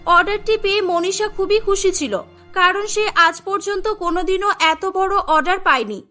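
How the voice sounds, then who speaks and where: A woman narrates a cartoon story in a very high, bright voice. Her delivery is scripted storytelling, with music playing underneath. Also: normal speed. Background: background music.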